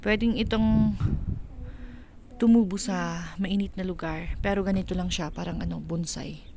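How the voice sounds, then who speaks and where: A woman with a Filipino accent chatting casually and haltingly for a vlog while walking outdoors, mixing in English phrases. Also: lowish voice, fairly even intonation, gravelly, warm tone. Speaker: vlogger. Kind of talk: casual walk-and-talk vlog chat. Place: outdoors on a windy countryside walk. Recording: noisy windy outdoor ambience.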